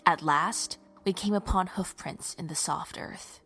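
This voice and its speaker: A woman's voice: fairly low pitch, measured pace, gravelly, pushed and bright, husky, faint music behind, full character voice. A woman playing a stern, defiant holy warrior in a fantasy audio drama, speaking formally and resolutely. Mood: solemn, resolute.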